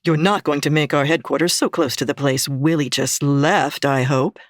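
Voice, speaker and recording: A woman's voice: low voice, steady tone, moderate pace, no echo, clean studio sound, natural voice. A woman playing a doubtful henchwoman. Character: cautious female henchwoman Ardela.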